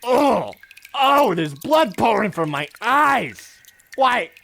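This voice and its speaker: A man playing an excitable, overwrought husband in a theatrical comic audio drama. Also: very high voice, slow, vocal fry, soft background music, heavily acted. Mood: dying melodrama. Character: excitable, melodramatic husband on a doomed holiday.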